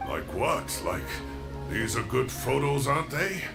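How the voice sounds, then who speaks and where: A man's voice: mid-range voice, a little slow, creaky, over music. A man playing an aging male professor in a video game, a teasing, self-deprecating and wistful mentor. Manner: playful, expressive, hesitant when sincere. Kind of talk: scripted video game voice acting.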